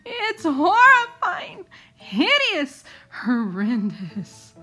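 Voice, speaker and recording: A woman's voice: extremely high pitch, wildly swooping pitch, very slow, sharp tone, faint music behind, voice pushed up, full character voice. A woman voicing frightened, melodramatic crayon townspeople in a storybook, exclaiming in fretful horror. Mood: horrified.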